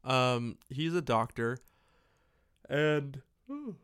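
A man's voice: mid-range voice, animated, very slow, a bit bright, clean tone, dry recording, studio quality. A man co-hosting a podcast, giving a casual film recap in an irreverent, mocking way. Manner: irreverent, mocking, mimics characters' lines. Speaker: podcast co-host. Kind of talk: casual film recap chat with acted-out dialogue.

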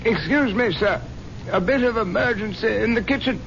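A high, gravelly-edged manservant, timid and formally polite. He speaks with deferential hesitation in a 1970s radio drama. The recording is muffled, with faint noise. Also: moderate pace. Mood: timidly deferential. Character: deferential, timid male household servant.

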